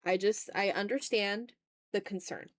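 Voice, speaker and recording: Girl streamer chatting while she draws, slangy and playful, mid pitch voice, really dry close mic. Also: measured pace, no room sound. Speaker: art livestream host. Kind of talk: casual livestream chat while drawing.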